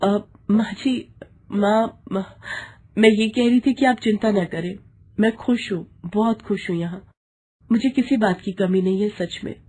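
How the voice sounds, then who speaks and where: A woman's voice: mid-range voice, a little slow, mellow, husky, voice pushed up. A woman voicing a sad, dutiful young daughter-in-law, hesitant and submissive, in a moral story.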